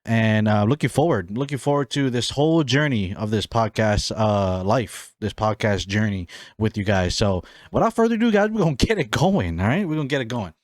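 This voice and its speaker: A man's voice: on the low side, animated, a little fast, hardly any pauses, no room sound, studio quality. A young man from Hawaii hosting his own podcast, chatting casually about himself with a rambling, friendly manner full of fillers and restarts. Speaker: podcast host. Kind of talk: casual solo podcast chat.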